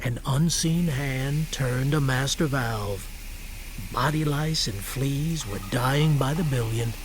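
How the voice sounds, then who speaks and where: A man reads scripted literary narration for a radio play. His delivery is terse and matter-of-fact at a medium pitch, with faint ambience behind him. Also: takes it slowly, a little hiss or hum.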